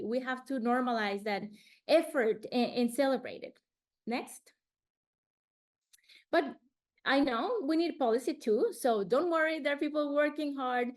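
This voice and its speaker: A woman from Colombia, presenting slides in a webinar in an earnest way, often restarting words over a video call. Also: fairly high pitch.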